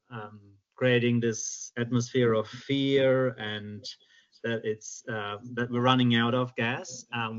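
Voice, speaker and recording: A man's voice: mid-range voice, fairly bright tone. Earnest German-accented man on a call. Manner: earnest, halting, many fillers. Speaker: public submitter. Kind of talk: public hearing submission over video call. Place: home. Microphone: video call. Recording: lo-fi video call audio.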